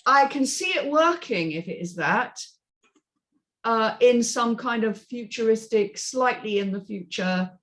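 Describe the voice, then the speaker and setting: A woman's voice: a little high, animated, measured pace, fairly bright tone. Opinionated woman giving blunt critique. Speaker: script reading panelist. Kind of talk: unscripted critique in a panel discussion.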